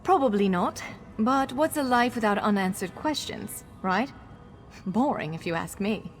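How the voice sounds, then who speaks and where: Actress doing an earnest elf scholar lady for a fantasy game, animated, reverby hall, soft music. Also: medium pitch, a little slow, close to an everyday voice. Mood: musing. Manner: earnest, encouraging. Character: earnest, excitable female elf scholar guiding a hero. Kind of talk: fantasy video game dialogue.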